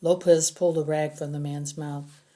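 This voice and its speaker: A woman's voice: low-pitched, level tone, moderate pace, dark tone. A woman reading first-person adventure fiction as a scripted audiobook narrator. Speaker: audiobook reader.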